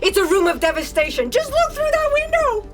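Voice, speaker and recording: A melodramatic doom-crier in a comic sci-fi audio play. The voice is very high and swoops widely, with slow, ominous delivery. Music sits faintly behind it. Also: female voice, big pitch swings. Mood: ominous, defiant. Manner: theatrical, drawn-out, ominous pronouncements. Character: melodramatic doom-crying female writer guarding a quarantined door.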